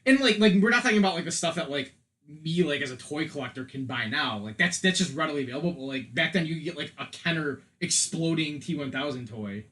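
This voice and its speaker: Podcast co-host, male, 30s. Slightly high register, loose rambling delivery, joking and opinionated. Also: expressive, quick pace, bright, forceful tone. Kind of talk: casual opinionated film chat.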